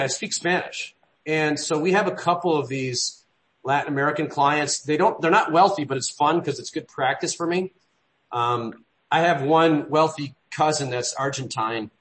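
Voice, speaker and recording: A man chairs a work meeting, his talk looping through restarts and side stories at an ordinary pitch. His delivery is loose and conversational, drifting off before picking up again. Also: a bit bright. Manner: rambling, frequent restarts and trailing asides. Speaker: meeting leader. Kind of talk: business meeting.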